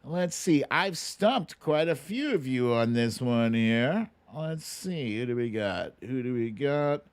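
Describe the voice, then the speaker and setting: A man's voice: medium pitch, expressive, slow pace, clear, resonant tone. A man hosting a casual livestream guessing game, chatting playfully and informally straight to his viewers. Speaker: livestream host running a song-mashup guessing game.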